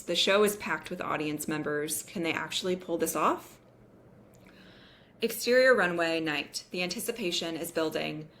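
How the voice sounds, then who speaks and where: A woman's voice: on the low side, a bit bright. A woman reading stage directions as the narrator of a scripted table read.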